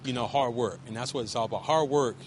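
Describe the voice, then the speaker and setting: A man, a wrestling coach, talking casually in an interview with a loud voice, emphatic and repetitive, with many restarts. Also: medium pitch, quick pace, slight background noise.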